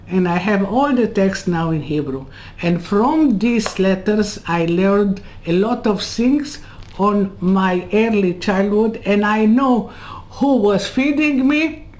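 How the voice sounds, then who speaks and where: Woman, 80s, from Serbia; very slow, halting non-native English with rambling asides; webcam interview from home. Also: medium pitch, a little mellow. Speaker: Holocaust survivor interviewee. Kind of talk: personal life story told in an interview. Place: home room lined with bookcases. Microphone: webcam on a video call.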